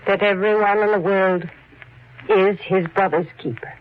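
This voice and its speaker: A woman playing a protective, bitter wife with a deliberate, dramatic delivery in 1940s radio style. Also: medium pitch, steady tone, lightly acted. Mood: bitter, grave. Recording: dull lo-fi 1940s radio recording.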